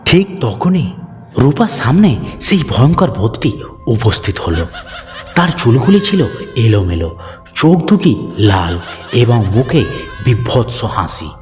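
A male storyteller narrates a cartoon horror tale with hugely swooping intonation. His mid-pitched voice rings with long reverb over music. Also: very animated, a little slow, pauses often, darkish tone.

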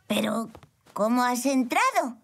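A woman's voice: a little high, sing-song, measured pace, creaky, fairly bright tone, exaggerated voice. A woman with a Castilian Spanish accent playing a curious, earnest five-year-old boy zebra in a children's cartoon. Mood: curious, puzzled. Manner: inquisitive, earnest questioning. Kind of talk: animated children's cartoon dub. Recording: music behind the voice.

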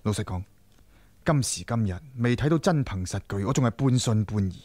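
A man's voice: on the low side, lively intonation, fairly quick, a little mellow, no echo. A man playing a proud, wary Qing emperor in a Cantonese radio drama, imperious and defensive. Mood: guarded.

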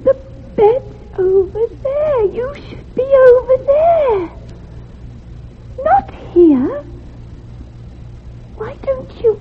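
A woman's voice: very high-pitched, animated, slight background noise, full character voice. A woman playing a ghostly little girl in a radio drama, plaintive and childlike, repeating herself. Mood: plaintive, eerie. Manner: slow, repetitive, childlike questioning. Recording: tinny phone-like band, faint music.

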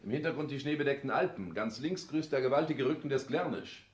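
A man acts a calculating careerist son who speaks in polished, commanding phrases. The delivery is formal and theatrical, in vintage radio play manner. The sound is clearly roomy. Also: medium pitch, a little fast, lightly acted. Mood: persuasive, eloquent. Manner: formal, declamatory, commanding stage diction. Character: calculating, domineering careerist son, coldly eloquent. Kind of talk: 1960s German radio play acting.

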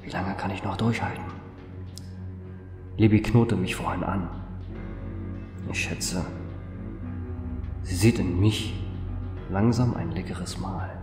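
A man playing a starving, isolated man in an audio drama diary, weary, halting and murmuring. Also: deep voice, steady tone, reverberant, big-room sound, over music. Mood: weary despair.

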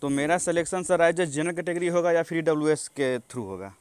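A man's voice: on the high side, a little fast, clear, resonant tone. A young man with a Bihari accent making a deferential phone inquiry, polite, insistent and repeatedly addressing the listener as sir. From Bihar, India. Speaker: job candidate calling an official.

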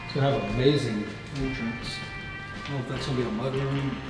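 A man's voice: medium pitch, steady tone, fast, heavy reverb, music in the background, low-quality recording. A man, giving blunt, crude commentary while walking through an unfinished house. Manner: crude joking, blunt opinions. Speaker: real estate vlogger. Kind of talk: casual walkthrough commentary. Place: unfinished house under construction, indoors and outdoors.

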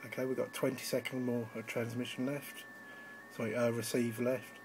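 A man's voice: mid-range voice, flat delivery, moderate pace, noisy background. A man giving an informal, slightly hesitant live demonstration as an amateur radio operator, recorded on a handheld phone indoors. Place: indoors at a radio station desk.

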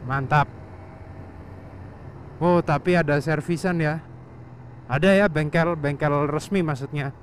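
A man's voice: fairly high pitch, fairly even intonation, a little slow, pauses often. A man chatting casually and informally as a motovlogger while he rides. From Surabaya, Indonesia. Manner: informal, slangy, refers to himself by name. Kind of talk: casual chat while riding a motorbike. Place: outdoors on a moving motorbike on a village road. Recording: engine, wind and road noise. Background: motorbike engine and passing traffic.

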